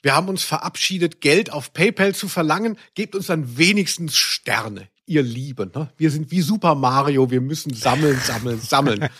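A man's voice: on the high side, normal speed, few pauses, a bit bright, slightly rough. A middle-aged man co-hosting a podcast in casual, playful, tongue-in-cheek chat. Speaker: podcast co-host.

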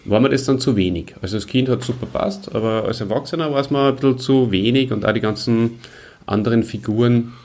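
A man's voice: medium pitch, brisk, a little mellow. A middle-aged Austrian man co-hosting a podcast, reminiscing in casual, conversational speech. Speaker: podcast co-host.

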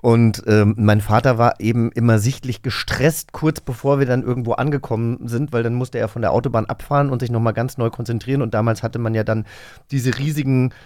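A man's voice: mid-range voice, fairly even intonation, brisk, a little mellow, dry recording. A man speaking as an interview host, chatting informally in a studio. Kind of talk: casual studio conversation. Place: recording studio.

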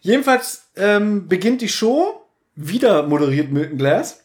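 A man co-hosting a podcast, chatting casually and retelling an audio drama in an animated way. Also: high-pitched, unhurried. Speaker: podcast host.

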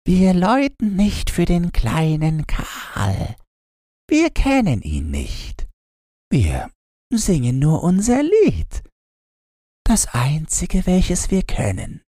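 Man playing fairy-tale hyacinths, mournful, detached, slow hushed recitation, soft slightly husky tone. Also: high-pitched, wildly swooping pitch, no echo, studio quality, pitched above the performer's own voice, exaggerated voice.

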